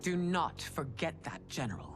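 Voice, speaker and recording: A regal, imperious ruler of a fantasy game realm. Her voice sits fairly low and moves expressively as she commands in formal, stern phrases. Clear music runs behind her. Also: animated, normal speed. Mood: stern, commanding. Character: stern, regal middle-aged empress and demanding mother.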